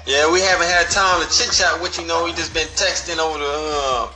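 An upbeat male radio host keeps the talk loose and chatty as he asks questions, his voice pitched on the high side. Music plays clearly behind him. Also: fairly even intonation, a little fast, rough recording. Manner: upbeat, chatty, lots of "man". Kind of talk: casual interview chat.

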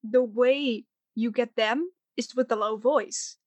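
A young woman chatting on a podcast, her voice a bit high and close to the mic. She rambles and restarts often. The sound is dry, with no room around it. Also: slow, a little mellow, dry recording. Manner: rambling, many fillers and restarts. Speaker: podcast co-host. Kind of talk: casual podcast chat.

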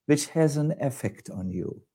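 A man with a German accent speaks as a spiritual teacher, high and very lively in pitch. His delivery is slow, with many hesitant fillers, and his tone is mellow and warm. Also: slightly rough. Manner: hesitant fillers, chuckling, vivid examples. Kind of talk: answering in a spiritual discussion.

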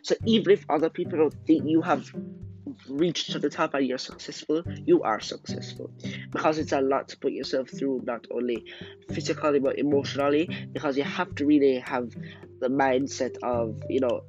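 A young man with a soft, deep voice talking casually and encouragingly on his podcast as an aspiring singer, with loose run-on thoughts and many fillers. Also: moderate pace. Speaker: podcast host. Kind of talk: casual solo podcast talk. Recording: dull lo-fi sound over loud guitar music.

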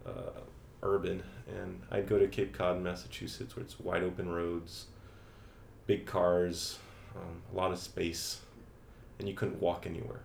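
A young man from France talks through his answers in an easy, unhurried way, pausing on ums and uhs. His voice sits very low with a gravelly fry. The room is echoey, with a faint hum of background noise. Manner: relaxed, with frequent ums and uhs. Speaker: interview guest, teacher. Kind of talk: casual interview answers.

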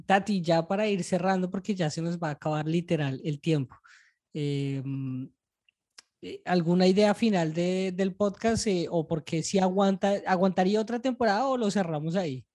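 A man's voice: sits high, normal speed, darkish tone. A man with a Colombian accent hosting a podcast in a casual, informal review chat, phrasing things a little hesitantly. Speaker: podcast host.

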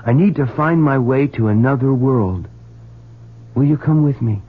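An actor playing a headstrong, intense young student with a husky voice in an old-time radio drama. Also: male voice, medium pitch, moderate pace, natural voice. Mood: determined. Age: young adult. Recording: thin, narrow old radio recording.

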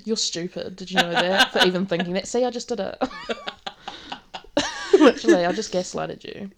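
A woman's voice: medium pitch, moderate pace, slight background noise. A young woman, teasing and joking playfully while co-hosting a casual podcast. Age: 20s. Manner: teasing, playful, deadpan jokes. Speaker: podcast co-host, former flight attendant. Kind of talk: casual chat.

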